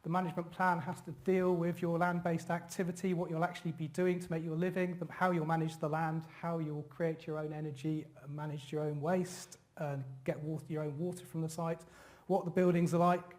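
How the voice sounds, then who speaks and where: Halting man giving a slide talk. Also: fairly high pitch, little pitch movement. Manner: halting, many fillers and restarts. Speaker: conference speaker.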